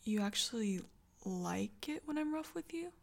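A woman voicing an intense, tender young girlfriend in a whispered audio roleplay. She speaks in a soft, close whisper and stammers when she gets flustered. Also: medium pitch, lively intonation, moderate pace, gravelly. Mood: tender confession.

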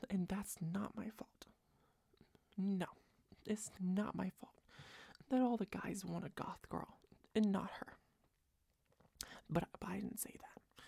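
A young woman doing a soft, close-mic whispered girlfriend roleplay, rambling and doting, a little tipsy. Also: medium pitch, vocal fry, breathy edge, no echo. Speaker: ASMR roleplayer.

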